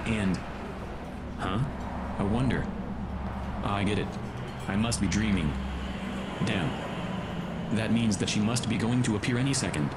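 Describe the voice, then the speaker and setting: Clear outdoor noise surrounds a gruff hero played by a man. He has a low voice and a curt, grumbling manner, as in a radio-style drama. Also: lightly acted. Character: gruff, bad-tempered male hero on the run with robot. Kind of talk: scripted audio drama, muttered monologue.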